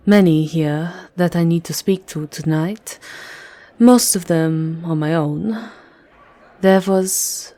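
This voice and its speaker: A woman playing a vampire countess speaks slowly in a fairly low voice. She is elegant, seductive and commanding, with measured aristocratic poise, in a fantasy ASMR roleplay. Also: warm tone.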